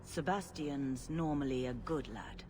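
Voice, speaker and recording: An actress playing a dignified, compassionate older cleric, speaking formally and solemnly in a video game. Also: female voice, extremely low pitch, a little slow, noisy background. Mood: regretful, gentle.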